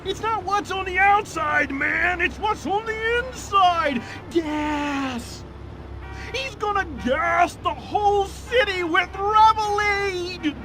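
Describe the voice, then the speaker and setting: A man voicing a nervous but mellow, gossipy hippie stoner informant in a video game, with a slangy drawl. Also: extremely high pitch, slow, over music, exaggerated voice. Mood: conspiratorial. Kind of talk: video game cutscene voice acting.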